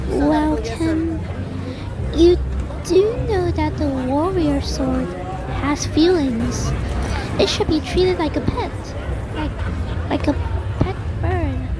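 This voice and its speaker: A woman voicing a chatty, quirky animal friend in an amateur animated drama, with a casual, quibbling manner. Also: sits high. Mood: reassuring. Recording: loud music and crowd noise behind.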